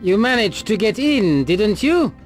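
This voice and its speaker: An actor playing a sly, discreet shady dealer in a video game, speaking in an insinuating way. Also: male voice, high voice, very animated, loud music behind the voice. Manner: slow, insinuating. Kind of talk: video game cutscene acting.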